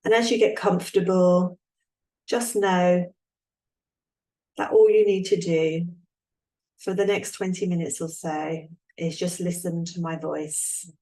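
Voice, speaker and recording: A woman leading a guided relaxation as an instructor, speaking in a calm, soothing way with long, unhurried pauses. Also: lowish voice, low-quality recording.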